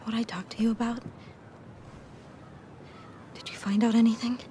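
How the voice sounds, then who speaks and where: A woman's voice: on the high side, flat delivery, normal speed, mellow, slight background noise, natural voice. A North American woman in her twenties playing a determined, vulnerable fugitive in a cinematic game, with earnest, intense, natural delivery. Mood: anxious, pressing. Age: 20s. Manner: earnest, intense, plain-spoken.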